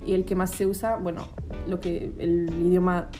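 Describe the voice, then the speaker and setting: A young woman speaks Spanish with an Argentine accent softened by her native French. Her voice sits a bit low, and her answers ramble gently, full of "bueno" and restarts. Faint music plays behind her. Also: moderate pace. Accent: Argentine Spanish with a French tinge. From Geneva, Switzerland. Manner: relaxed, frequent "bueno" fillers and restarts. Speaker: guest, professional basketball player. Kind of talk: casual interview answers.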